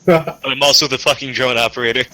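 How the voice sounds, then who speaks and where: A man's voice: mid-range voice, fast talker, bright, forceful tone, rough recording. A man talking casually as a player in a tabletop roleplaying game, discussing options with the group.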